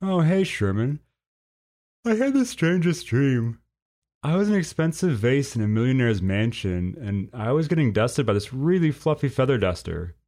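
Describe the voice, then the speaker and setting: A man's voice: medium pitch, very animated, moderate pace, a little mellow, voice pushed up. A man playing a laid-back, cheerfully unbothered teenage male skink in a story reading, with a casual manner. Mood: sleepy.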